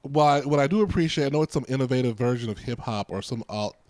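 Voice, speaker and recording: A man's voice: fast, darkish tone, dry recording. Playful man co-hosting a podcast. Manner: playful, teasing, jumps in pitch. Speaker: podcast co-host. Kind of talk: casual chat.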